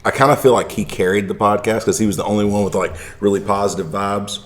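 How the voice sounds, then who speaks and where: Male podcast host, very low voice, rambling casual storyteller. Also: fairly even intonation, fast, slight background noise. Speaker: martial arts podcast host.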